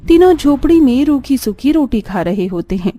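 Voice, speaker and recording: A woman's voice: sits high, very animated, normal speed, dark tone, no room sound. A woman giving dramatic scripted narration as the storyteller of a tale.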